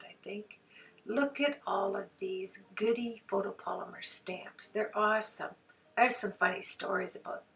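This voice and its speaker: A hoarse woman talks through a craft tutorial in a chatty, folksy way. Her voice has a gravelly fry under a bright, sharp edge, and she sits a little back from the mic. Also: medium pitch, far from the mic, rough recording. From Canada. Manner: chatty, folksy, homey phrases. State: hoarse from flu. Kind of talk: card-making tutorial narration.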